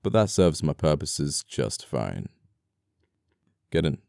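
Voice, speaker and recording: A man acting as an amoral but apologetic, flirty murderer in an ASMR audio roleplay, speaking with unhurried, polite menace. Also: very deep voice, sing-song, gravelly, a little mellow, no echo, studio quality, exaggerated voice. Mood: smug confiding.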